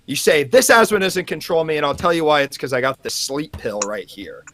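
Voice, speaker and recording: A man's voice: on the high side, big pitch swings, fairly quick, fairly bright tone, slight background noise. A man playing a gushing, rambling ad-agency executive in improvised comedy banter. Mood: rambling, eager. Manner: rambling, effusive, hedging.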